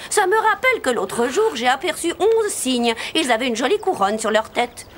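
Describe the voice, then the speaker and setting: A woman's voice: high-pitched, sing-song, moderate pace, a bit bright, slight background noise. A woman playing a kindly, chatty forest stranger in a cartoon fairy tale, speaking in a folksy way. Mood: chatty, musing. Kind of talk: animated fairy tale acting.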